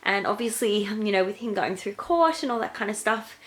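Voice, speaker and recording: A woman's voice: medium pitch, animated, normal speed. Chatty woman with a nasal cold. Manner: chatty, many fillers like "um" and "like". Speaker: astrology vlogger. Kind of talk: casual explanatory reading. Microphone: handheld camera.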